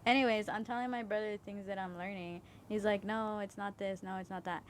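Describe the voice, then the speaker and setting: A young woman co-hosts a casual podcast with a wry, joking delivery. Her voice sits at a middle pitch. Faint background noise runs under her talk. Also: fairly even intonation, a little fast. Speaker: podcast co-host. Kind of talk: casual chat.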